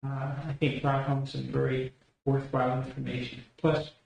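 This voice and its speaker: A man speaks graciously at a county meeting, praising a board member and pausing on "uh" between phrases. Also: medium pitch, monotone, gravelly, a little mellow, a little hiss or hum. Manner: gracious, with halting "uh" fillers. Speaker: county official addressing the board. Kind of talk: formal meeting remarks. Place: county commissioners' meeting room. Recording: echoey room from a distance.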